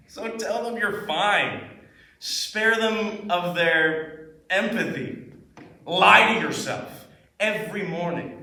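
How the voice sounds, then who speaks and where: In a very echoey room, away from the mic, a man performs a bitter, despairing stage character. The high voice speaks slowly and intensely, leaving dramatic pauses. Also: recorded from a distance, exaggerated voice. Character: despairing, bitter person confessing a hard truth.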